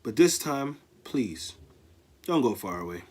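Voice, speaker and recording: A man's voice: mid-range voice, sing-song, measured pace, darkish tone. A man voicing a mean, hungry male jaguar in a children's story. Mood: sheepish.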